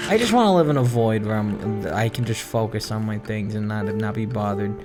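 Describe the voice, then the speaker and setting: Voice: mid-range voice, a little fast, music in the background. A person giving rambling, casual gaming commentary, musing and grumbling in an informal way. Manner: informal, musing, grumbling about small gripes. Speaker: gaming video host. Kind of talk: rambling casual Minecraft let's-play commentary.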